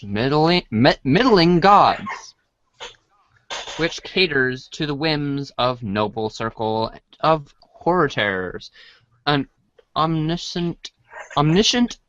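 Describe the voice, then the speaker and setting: A man reading a storybook aloud in a dramatic read-along style, halting and stumbling over long words. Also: a little high, expressive, fairly bright tone, dull audio. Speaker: reader in a comedic reader's theater show.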